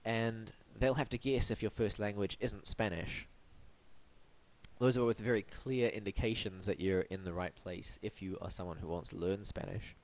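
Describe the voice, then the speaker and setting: A man's voice: fairly low pitch, fairly even intonation, normal speed, rough recording. A man hosting a web design review, blocked up and sniffly from hay fever, with a wry, mock-formal, theatrical delivery. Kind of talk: scripted web review monologue.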